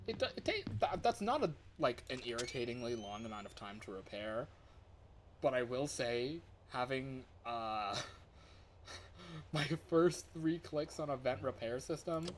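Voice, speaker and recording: A man giving live, excitable gaming commentary as he plays, thinking aloud as he goes. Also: mid-range voice, slight background noise. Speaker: livestreamer playing a fangame.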